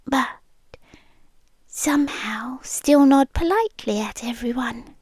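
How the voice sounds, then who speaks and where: High, slow, whispery British woman. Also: slightly rough, no echo. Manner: soft half-whispered, rambling and nervously chatty. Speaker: ASMR roleplay creator. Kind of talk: ASMR roleplay, whispered. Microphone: close ASMR microphone.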